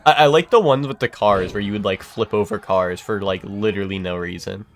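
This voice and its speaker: A man's voice: fairly low pitch, fairly quick. A young man with a congested, stuffy voice chats loosely while playing a game on stream. His talk rambles, full of fillers, self-corrections and casual swearing. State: congested from a cold. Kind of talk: casual chat while gaming.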